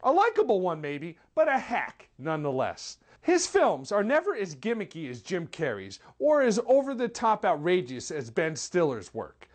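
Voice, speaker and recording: A film critic delivering a scripted movie review in an articulate, measured, wry manner. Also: mid-range voice.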